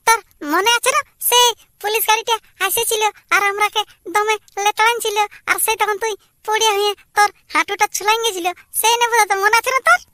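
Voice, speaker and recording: A man voicing a stubborn little village boy for an amateur cartoon, with a Purulia Bengali accent and a pitched-up voice. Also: steady tone, fairly quick, bright, forceful tone, dry recording, heavily acted. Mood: eager, chatty. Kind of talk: amateur cartoon comedy dialogue.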